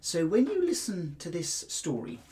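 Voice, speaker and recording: A middle-aged man with a British accent, speaking as a headmaster to children in an expressive, carefully phrased storytelling style. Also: sits high, normal speed, slight background noise. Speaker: school headmaster reading to pupils.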